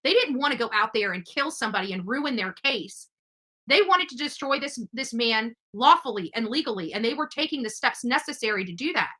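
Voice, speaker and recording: A woman hosting a true-crime livestream, commenting over footage in a halting, emphatic way with repeated words. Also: on the high side, animated, pushed and bright. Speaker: livestream host.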